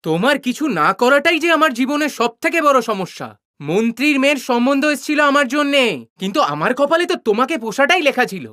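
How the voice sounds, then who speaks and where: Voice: high voice, sing-song, a little fast, sharp tone, no room sound, exaggerated voice. A performer voicing a bitter young husband in a cartoon, accusing, demanding and exasperated. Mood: bitter, blaming. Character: bitter, blaming young husband, failing businessman. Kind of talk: cartoon character dialogue.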